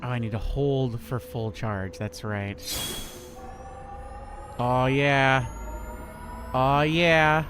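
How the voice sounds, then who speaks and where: A man's voice: mid-range voice, fairly even intonation, drawn-out words, long pauses, music in the background. A man in his thirties streaming a horror game, chatting in a rambling, laid-back way with a lot of swearing. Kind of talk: casual gameplay commentary.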